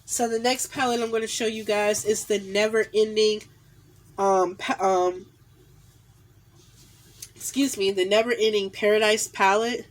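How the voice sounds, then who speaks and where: A woman's voice: medium pitch, fairly even intonation, a little slow, pauses often. Stuffy nasal woman, 30s, chatty makeup haul talk on a phone at arm's length, says definitely a lot. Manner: chatty, lots of fillers and repeated "definitely". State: nasal from a cold. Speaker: beauty vlogger. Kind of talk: casual makeup haul review. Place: small indoor room at home. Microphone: phone camera at arm's length.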